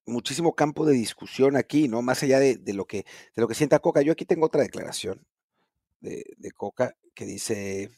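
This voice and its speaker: A man with a Mexican accent talks football in a relaxed, conversational way, often checking in with tag questions. His voice is mid-pitched. The sound is dry, with no room echo. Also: normal speed, creaky. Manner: casual, thinking aloud with frequent tag questions. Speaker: podcast co-host. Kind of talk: casual sports chat.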